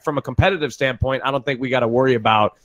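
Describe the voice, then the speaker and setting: A man's voice: mid-range voice, rapid-fire, a bit bright. A man in his twenties chatting as a streamer guest, laid-back and hedging with fillers. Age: 20s. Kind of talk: casual group chat.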